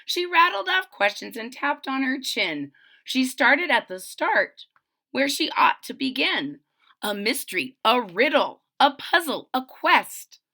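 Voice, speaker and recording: A woman reading a children's story aloud with dramatic emphasis, explaining simply as if to young listeners. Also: a little high. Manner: expressive, dramatic emphasis on key words. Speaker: storybook reader.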